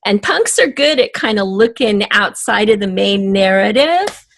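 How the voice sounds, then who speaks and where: A woman's voice: medium pitch, animated, unhurried, gravelly, slight background noise. A woman speaking as a guest writer in a podcast interview, giving emphatic, encouraging advice in a conversational way.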